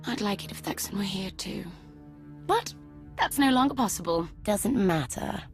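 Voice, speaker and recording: An actress playing a sly, scheming imperial sister in a dramatic video game, coolly goading. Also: female voice, medium pitch, animated, moderate pace, over music, natural voice. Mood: coolly provoking.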